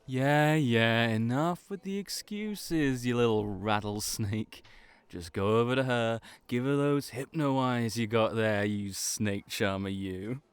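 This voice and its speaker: A smooth, resonant young ram boy acted by a man in an audio roleplay. He works at a cafe and needles people playfully, taking his time over each jab. His pitch sits in the middle. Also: slow pace, no echo, lightly acted. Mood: playful, needling. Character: cheeky, teasing young male ram hybrid cafe worker.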